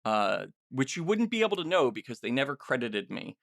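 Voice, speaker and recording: Creaky-voiced man hosting a casual interview. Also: mid-range voice, big pitch swings, brisk, fairly bright tone, no echo, clean studio sound. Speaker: podcast host and interviewer.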